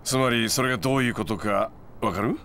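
A man's voice: medium pitch, fairly quick, fairly bright tone, slight background noise, natural voice. Actor as a smug, laid-back moneylender guy. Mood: needling, lecturing. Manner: glib, needling, casual lecturing. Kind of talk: video game cutscene acting.

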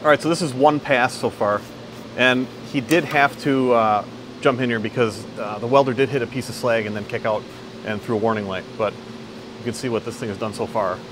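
A man's voice: medium pitch, fairly even intonation, background noise. A man in his thirties hosting a casual, upbeat walkthrough of a metal fabrication shop, filmed on a phone camera. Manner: chatty, quick and upbeat. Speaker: company video host. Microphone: phone camera, selfie and standing shots.

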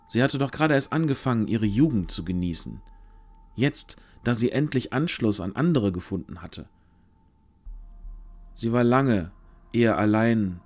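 A man's voice: fairly low pitch, a little mellow. A man narrating a scripted fantasy story for an audio drama.

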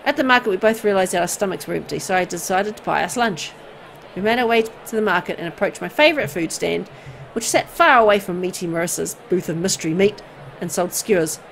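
A woman reading a horror visual novel aloud in an expressive storytelling style. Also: medium pitch, brisk, gravelly, a little hiss or hum.

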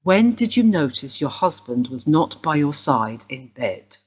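A brisk woman detective. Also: low-pitched, big pitch swings, breathy edge, full character voice. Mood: formal. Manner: brisk, formal, probing. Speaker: police detective. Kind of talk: radio play acting.

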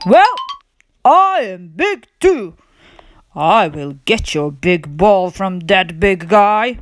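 A woman voicing an earnest, indignant male elephant who protects his friend, read from a children's storybook in a deliberate, emphatic way. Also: mid-range voice, sing-song, no room sound, studio quality, soft background music, pitched below the performer's own voice.

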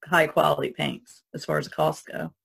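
A woman's voice: low voice, little pitch movement, normal speed, creaky, husky. A woman chatting casually as a podcast co-host. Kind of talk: casual chat.

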